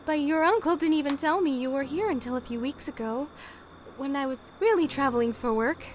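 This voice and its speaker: High-voiced friendly aunt played by an actress. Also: female voice, brisk, a little hiss or hum, close to an everyday voice. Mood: friendly, teasing. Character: friendly, slightly flustered aunt in an anime-style visual novel. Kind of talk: video game voice acting.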